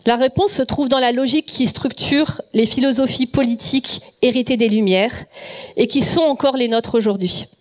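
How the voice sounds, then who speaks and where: A woman hosting an event, giving a measured and polite formal introduction of the speaker. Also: on the high side. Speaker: event host.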